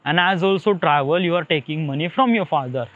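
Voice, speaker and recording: Through a thin, phone-line-like recording comes a young man with an Indian accent. His voice sits fairly high for a man. He talks in a casual, wandering monologue, often hesitating and repeating words as he looks for the next one. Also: a little fast, fairly bright tone. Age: young adult. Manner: hesitant, repetitive, searching for words. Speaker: solo podcaster. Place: outdoors beside a highway, walking.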